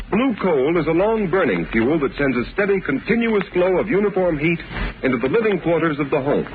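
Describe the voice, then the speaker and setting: A man reading a scripted 1930s radio commercial as announcer, with emphatic, careful salesmanship. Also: a little high. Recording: tinny crackly 1930s radio recording.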